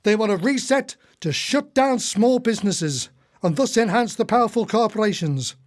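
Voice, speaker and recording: A man's voice: sits high, animated, moderate pace. Actor as older husband, male, cynical, earnest, declamatory, radio play style. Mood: bitter ranting. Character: ranting, earnest retired husband, suspicious and cynical.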